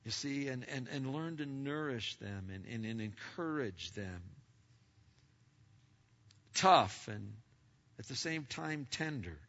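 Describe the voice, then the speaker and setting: A man's voice: mid-range voice, lively intonation, slow pace, pauses often. Big-voiced preacher man, lo-fi. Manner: loud and emphatic, with many stammered restarts. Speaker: pastor. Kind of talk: sermon to a congregation. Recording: lo-fi recording.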